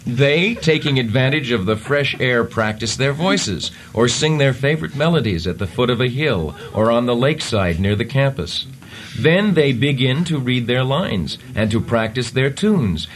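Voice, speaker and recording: A man on a radio comedy show reading a stilted text aloud in a deadpan, mock-formal way, chuckling now and then. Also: mid-range voice.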